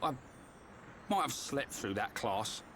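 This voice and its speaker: A man playing a good-natured, slow-witted young fighter in a video game, casual and plain-spoken. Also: medium pitch, very animated, a bit bright, slight background noise. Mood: sheepish, upbeat. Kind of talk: video game cutscene acting.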